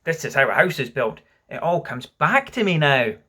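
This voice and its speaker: A storybook boy putting on the airs of a solemn doctor, voiced by an adult reader. He is pompous and self-important, with a husky edge to the voice. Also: mid-range voice, very animated, moderate pace, sharp tone. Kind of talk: storybook reading with character voices.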